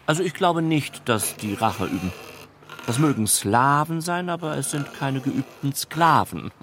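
An actor playing a cynical SS camp commandant in a radio drama, coolly dismissive. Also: male voice, mid-range voice, animated, a little slow, fairly bright tone, a little hiss or hum. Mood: dismissive, musing. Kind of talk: radio play dialogue and dry document reading.

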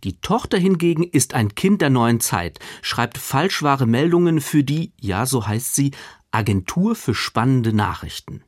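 Man with lively, swooping intonation. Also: medium pitch, unhurried, no echo, studio quality. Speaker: literary critic. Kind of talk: scripted radio book review.

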